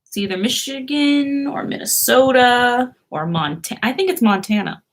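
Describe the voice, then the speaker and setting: A woman's voice: on the high side, animated, moderate pace. A young woman hosting a casual live stream, who chats, laughs often and answers her viewers' comments.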